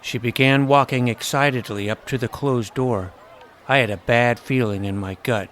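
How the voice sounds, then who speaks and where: A man playing an earnest young hero who tells his own fantasy adventure in measured, literary first-person narration. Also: mid-range voice, fairly even intonation, fairly bright tone, slight background noise, lightly acted. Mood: tense storytelling. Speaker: narrator and lead character. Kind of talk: first-person scripted storytelling, audio drama. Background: music under the voice.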